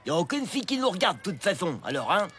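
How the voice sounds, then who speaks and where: A woman voicing a doubtful, grumbling young schoolboy sidekick in a French animated series. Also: fairly low pitch, fast talker.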